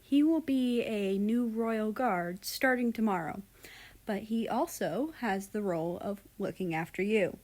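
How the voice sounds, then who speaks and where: A woman's voice: mid-range voice, unhurried, darkish tone, lightly acted. A woman voicing a stern older king and father from a story, speaking in a formal, authoritative manner. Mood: stern, instructing.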